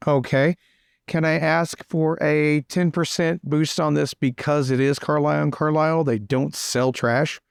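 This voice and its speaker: Chatty man with a gravelly creak. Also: a little high, fairly even intonation, measured pace, no room sound, studio quality. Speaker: tabletop roleplay player. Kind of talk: improvised play, switching between narration and dialogue.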